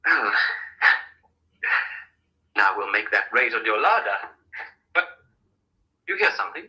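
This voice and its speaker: A man's voice: lowish voice, very animated, a little slow, bright, forceful tone, heavily acted, poor audio. A man playing an earnest, capable young man in a radio drama, reassuring, decisive and a little formal. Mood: tender, restrained. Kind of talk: vintage radio drama acting.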